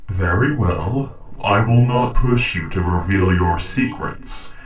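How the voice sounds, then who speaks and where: Man playing a slow, deep, formal robot. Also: dull audio. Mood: conciliatory. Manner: formal, measured, precise diction. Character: polite, logical humanoid robot interrogating a captive human. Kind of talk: scripted audio roleplay monologue. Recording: roomy echo, distant from mic.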